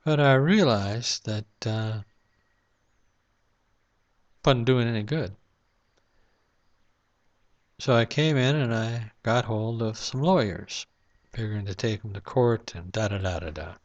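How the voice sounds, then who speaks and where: A man's voice: fairly low pitch, takes it slowly, long pauses, lo-fi sound. A man in a casual, reflective monologue to camera at home at night, rambling with lots of fillers. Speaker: vlogger. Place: home room at night, lamp-lit.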